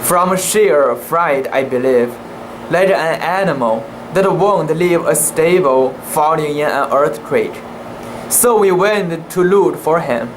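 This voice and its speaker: An amateur narrator giving a deliberate, halting dramatic reading of a classic sea story in the first person, stumbling over words now and then. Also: mid-range voice, slight background noise, low-quality recording.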